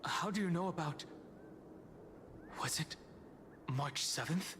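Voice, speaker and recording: An actor playing a calm, guarded warrior in a video game, serious and terse. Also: male voice, a little high, slow, noisy background. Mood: wary, stern. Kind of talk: dramatic video game voice acting. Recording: loud game music behind the voice.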